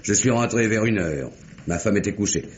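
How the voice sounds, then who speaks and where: An actor playing an aggrieved, self-righteous middle-aged banker, formal and declamatory, in the style of an old French radio drama. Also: male voice, on the low side, animated, brisk, a little hiss or hum, lightly acted. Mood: formal, explanatory. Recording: muffled vintage radio recording.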